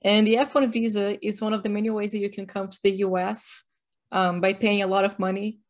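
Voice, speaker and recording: A woman from Brazil talks casually as a podcast co-host. Her explanations are chatty and full of dry, wry jokes. Her pitch sits in an ordinary middle range. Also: little pitch movement, a little fast. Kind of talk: casual chat.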